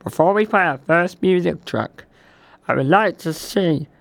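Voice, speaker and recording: A man's voice: high voice, unhurried, vocal fry, fairly bright tone, dry recording, pitched above the performer's own voice, exaggerated voice. A man playing an older radio DJ who is nervous and losing his voice, stumbling over his words and correcting himself. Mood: chirpy nervous. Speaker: radio host. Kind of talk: comic radio show parody.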